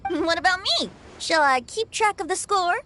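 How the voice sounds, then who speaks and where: Game role: showy boy bard, very high animated voice, with clear background noise. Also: normal speed, heavily acted. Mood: breezy, showy. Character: breezy, theatrical teenage boy bard. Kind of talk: video game voice acting.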